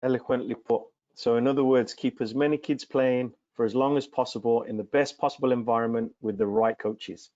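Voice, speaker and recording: A man's voice: mid-range voice, fairly even intonation, fairly quick, few pauses, darkish tone, dry recording. A man whose first language is Greek hosts a webinar, lavishing praise on his guests. The sound is dry and close, with muffled lo-fi video-call quality. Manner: effusive, heaping praise on guests. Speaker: webinar host. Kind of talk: hosting an online webinar.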